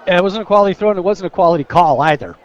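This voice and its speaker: A man's voice: high-pitched, racing. An American man giving conversational, home-team analysis between plays as a color commentator on a live football radio broadcast. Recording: dull lo-fi sports radio broadcast.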